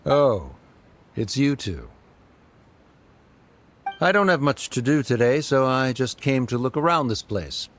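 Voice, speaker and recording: A man's voice: mid-range voice, big pitch swings, measured pace, pauses often, a bit bright. An actor playing a proud but caring middle-aged scholar father in a video game, speaking formally and a little stiffly. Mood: composed, formal. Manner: formal, stiff, hesitant, precise wording.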